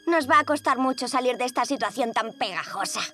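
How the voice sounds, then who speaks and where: High-voiced confident girl owl superhero. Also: animated, a little fast, pushed and bright, long reverb tail, soft background music. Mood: concerned, supportive. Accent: Castilian Spanish. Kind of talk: animated children's series dub acting.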